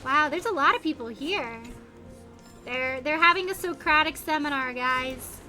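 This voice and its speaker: Female game streamer, high and bright voice, chatty and expressive, thinking out loud over faint music. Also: normal speed. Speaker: video game livestreamer. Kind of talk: casual gaming stream chat.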